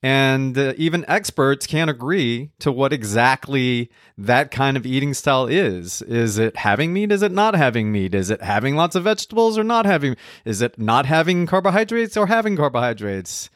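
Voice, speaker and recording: A man's voice: mid-range voice, animated, normal speed, few pauses, fairly bright tone, dry recording, studio quality. A middle-aged man from Colombia, a nutrition counselor talking casually and wryly on a podcast. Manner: conversational, wry, lightly joking. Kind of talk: podcast talk.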